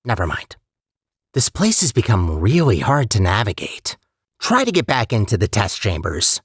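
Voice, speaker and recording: A man's voice: fairly low pitch, wildly swooping pitch, moderate pace, husky, no echo, clean studio sound, heavily acted. A man playing a chatty, sardonic and untrustworthy AI guide in a video game, with wry, hesitant conversational asides. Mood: dry, exasperated. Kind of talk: video game character voice lines.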